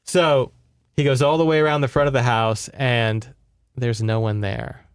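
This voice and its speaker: A man's voice: mid-range voice, fairly even intonation, a little slow, clear, resonant tone, no echo, clean studio sound. A man hosting a podcast, retelling a book in casual, conversational chat and checking in with his listeners. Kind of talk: casual story recap chat.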